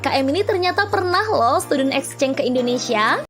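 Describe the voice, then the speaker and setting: Very high-voiced woman announcer. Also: expressive, fast, a bit bright, faint music behind. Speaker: host, announcer. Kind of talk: scripted announcing.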